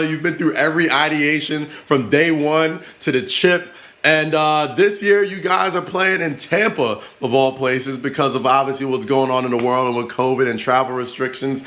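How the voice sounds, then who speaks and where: A man's voice: fairly high pitch, sharp tone. A man from Queens, hosting a casual sports interview in emphatic, slangy talk. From Far Rockaway, Queens, New York. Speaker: sports show host.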